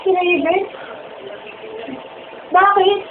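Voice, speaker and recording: A woman's voice: very high voice, fairly even intonation, drawn-out words, heavily acted. A teenage girl with a Filipino accent acting as a young woman hounded by a phone stalker in an amateur horror drama. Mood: pleading, distressed. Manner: pleading, repetitive, agitated. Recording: tinny, noisy, echoey phone-like audio.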